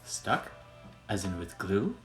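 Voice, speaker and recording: A man's voice: low-pitched, very animated, takes it slowly, soft background music, heavily acted. A man playing a helpful, insistent school principal in a radio play, explaining things conversationally. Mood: surprised. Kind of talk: amateur radio drama dialogue.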